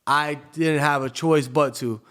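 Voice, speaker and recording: Smooth-voiced laid-back man. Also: fairly high pitch, fairly even intonation, a little slow, fairly bright tone. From Tampa, Florida. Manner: laid-back, peppers his talk with "you know". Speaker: podcast guest, DJ. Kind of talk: casual storytelling in a podcast interview.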